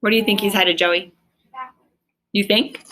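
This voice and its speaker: A woman's voice: medium pitch, fairly even intonation, a little slow, pushed and bright, a little hiss or hum. A woman reading a children's story aloud expressively, as if to a young listener. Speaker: storybook reader. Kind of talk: expressive read-aloud narration with asides to a child.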